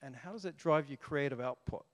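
A man's voice: medium pitch, a little fast. An older man hosts a panel, upbeat and playful, sprinkling in Maori greetings. His handheld mic carries a long reverb tail from the large hall around him. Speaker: panel moderator. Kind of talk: moderating a panel. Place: large formal hall on stage.

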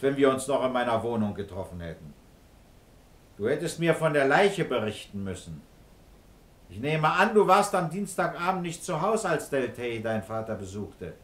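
An actor playing a calm, fatherly middle-aged police commissioner in a German radio crime drama, patient and persuasive as he coaxes and probes. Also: mid-range voice, echoey room.

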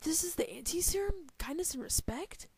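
A man's voice: extremely high pitch, animated, a little fast, vocal fry, darkish tone, no echo, exaggerated voice. A man playing an earnest, put-upon researcher in an amateur comic drama, with an exclamatory manner. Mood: dismayed. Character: earnest, put-upon researcher called Goofy seeking a cure.

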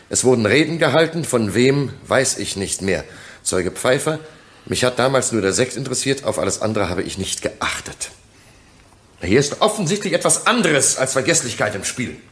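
An actor playing a skeptical male public prosecutor, formal and incisive, in a German courtroom radio play. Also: medium pitch. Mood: skeptical, insistent.

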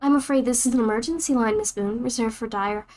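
A woman's voice: a little high, fast, darkish tone, soft background music, close to an everyday voice. A woman voicing a courteous but condescending operator of a secret agency's phone line. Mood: smug.

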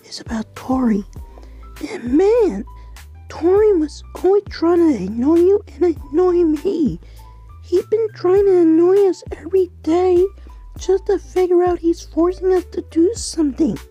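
A woman voicing an affectionate young girl in an amateur cartoon, confiding softly. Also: extremely high pitch, unhurried, soft background music. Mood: confiding, annoyed.

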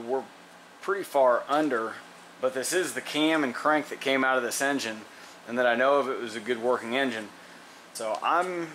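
Man, mid-pitched, casual shop narration, thinking aloud; light hum of air conditioning behind. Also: a bit bright, low-quality recording. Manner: plainspoken, thinks aloud with fillers and restarts. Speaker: mechanic demonstrating an engine rebuild. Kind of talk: casual how-to narration while working. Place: workshop garage.

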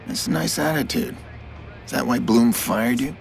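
An actor playing a terse, steely vigilante hacker in a video game, controlled and dry. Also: male voice, mid-range voice, normal speed, creaky, natural voice. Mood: cool, firm. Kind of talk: video game cutscene acting. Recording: loud film-score music behind.

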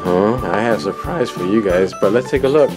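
A woman's voice: rumbling low, animated. A woman in her thirties behind the camera at home, explaining a kids' game and cheering the children on with teasing, laughing play-by-play. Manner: upbeat, teasing, cheering kids on with quick laughs. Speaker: parent hosting a family toy channel. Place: home dining room, indoors. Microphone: camera mic, speaker off camera. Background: background music.

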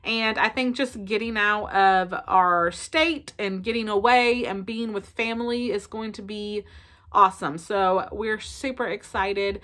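A bright, clear-toned woman in her thirties, speaking with a Southern American accent. She talks to the camera in a chatty, expressive way, with many ums and likes. She is recorded close up in a bedroom at home, at a middle pitch. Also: measured pace. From South Carolina. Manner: chatty, expressive, lots of fillers. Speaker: family vlogger. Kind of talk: casual chat to camera. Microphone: camera in front at close range.

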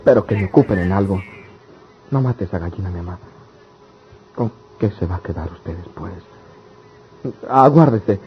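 Earnest country son, muffled radio drama. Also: male voice, low-pitched, animated, normal speed, long pauses. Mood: pleading, determined. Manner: humble, imploring. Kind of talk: old-fashioned radionovela acting. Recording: dull lo-fi radio serial with noise.

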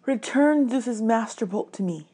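A woman's voice: a little high, lively intonation, unhurried, warm tone, breathy edge, pitched above the performer's own voice. A woman reads as a young boy hero in a storybook. He is nervous yet blunt, questioning his elders in a hesitant way. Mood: nervous, puzzled.

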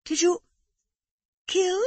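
A woman reading aloud, voicing an excitable upper-class lady in shrill, breathless exclamations. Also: extremely slow, no room sound, heavily acted. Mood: shrill alarm.

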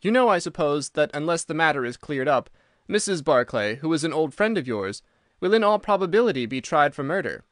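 A man reading a calm, assured detective. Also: on the high side, no echo, studio quality. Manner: measured, assured. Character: calm, precise male detective. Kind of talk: audiobook character reading.

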